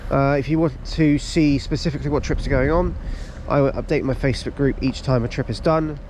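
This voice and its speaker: A man with a cold-stuffed nose talks casually and rambles a little, filling gaps with ums as he narrates. He is recorded outdoors on a camera mic. Clear outdoor noise and passing trains fill the background. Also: mid-range voice, fairly even intonation, brisk, a little mellow. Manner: chatty, lots of ums and restarts. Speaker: railway vlogger. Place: outdoors on station platforms.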